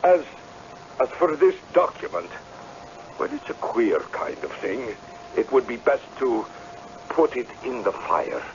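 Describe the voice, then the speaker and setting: A man playing an authoritative, guarded gentleman landowner in a radio drama, speaking formally and with command. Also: mid-range voice, big pitch swings, a little slow, long pauses. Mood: firm, calculating. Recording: muffled, faintly noisy radio broadcast.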